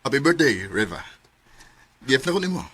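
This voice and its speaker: A bright, mid-pitched man's voice with a Cebuano Filipino accent, over soft music. He is an older family friend in a radio romance, earnest yet hesitant, holding back a secret love. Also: sing-song, moderate pace, breathy edge. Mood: friendly, casual. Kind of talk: radio romance drama acting.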